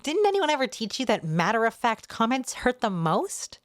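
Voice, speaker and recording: A woman's voice: fairly high pitch, very animated, moderate pace, no room sound, studio quality, pitched above the performer's own voice. Woman voicing a goofy, pleading teenage boy. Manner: slangy, pleading, exaggerated. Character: goofy, earnest teenage boy desperate to be believed.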